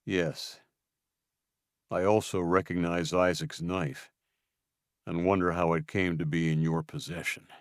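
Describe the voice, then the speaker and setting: A man voicing a stern male leader who questions slowly and quietly with cold menace. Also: vocal fry, smooth, no room sound, studio quality. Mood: cold, probing. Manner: low, slow, quietly menacing.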